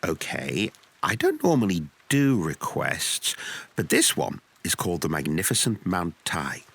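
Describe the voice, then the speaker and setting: A man playing an earnest, expressive musician with a husky voice in an old folk tale. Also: deep voice, a little slow, full character voice. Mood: obliging, wry. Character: earnest male musician of ancient China. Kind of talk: acted folk tale dialogue.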